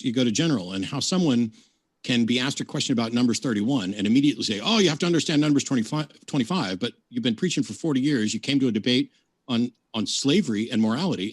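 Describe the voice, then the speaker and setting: A man debating online, talking in halting bursts with frequent restarts while pushing back. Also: mid-range voice, breathy edge, dry recording. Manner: halting, restarts, frustrated pushback.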